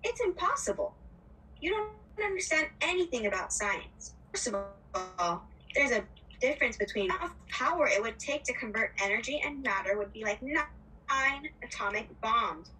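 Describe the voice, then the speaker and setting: Bratty boy genius puppet voiced by a woman, high and bright, indignant, distant noisy mic. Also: animated, normal speed. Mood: scornful. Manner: indignant, lecturing. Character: arrogant, irritable know-it-all boy puppet. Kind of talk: puppet show acting. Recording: distant mic with background noise.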